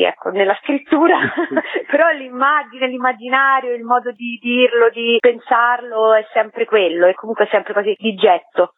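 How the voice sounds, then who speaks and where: A chatty woman with a fairly high voice gives a casual interview. She comes through a thin, tinny telephone line and talks expansively, in a descriptive way. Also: no echo. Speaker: author interviewed about their book of short stories.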